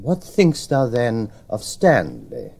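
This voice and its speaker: An actor playing a smooth-talking, scheming nobleman in a Shakespearean audio drama, measured and commanding. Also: medium pitch, big pitch swings, darkish tone, heavily acted. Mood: conspiratorial, commanding. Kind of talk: Shakespearean radio drama acting.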